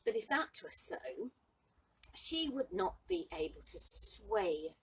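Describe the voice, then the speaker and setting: A woman playing a bewildered, lovestruck young man in a Shakespearean monologue, speaking haltingly and with wonder. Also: mid-range voice, very animated, pitched above the performer's own voice. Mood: puzzled reasoning. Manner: halting, wondering, shifting from hushed to exclaiming. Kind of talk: Shakespearean stage monologue, verse acting. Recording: distant mic with light background noise.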